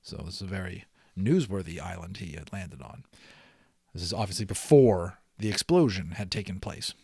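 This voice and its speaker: A man narrating a storybook aloud in an expressive, explanatory way, as an audiobook reader. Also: sits low, moderate pace, gravelly, husky, no echo, studio quality.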